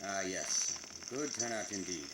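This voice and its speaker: An actor playing a polite, fussy older museum proprietor with formal, genteel speech in a 1940s-style radio drama. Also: male voice, sits low, animated, unhurried, reverberant. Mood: genial, musing. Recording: very noisy recording with loud sizzling hiss.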